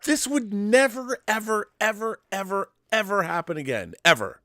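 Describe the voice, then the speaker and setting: A man's voice: high voice, expressive, moderate pace, pushed and bright, no echo, clean studio sound. A man co-hosting a casual fan debate, speaking emphatically and repeating words for stress. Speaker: co-host of a pop culture discussion show.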